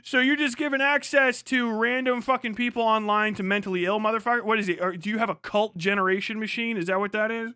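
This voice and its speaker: A man's voice: high voice, fairly even intonation, fast talker, few pauses, pushed and bright, clear, resonant tone, dry recording, clean studio sound. A man on a casual, emphatic livestream rant, speaking off the cuff, repeating himself for emphasis and swearing freely. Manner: emphatic, repetitive, profane.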